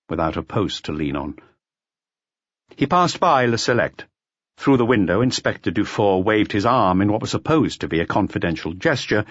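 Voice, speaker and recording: Male detective audiobook narrator: lowish, animated, slightly rough tone, dry and somewhat muffled recording. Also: dry recording. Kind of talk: scripted narration of a detective novel.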